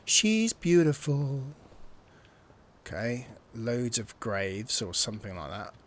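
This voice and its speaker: A man giving casual, excitable commentary while playing a video game and thinking aloud. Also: mid-range voice, slow pace, slight background noise. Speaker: gameplay streamer. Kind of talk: casual let's-play commentary.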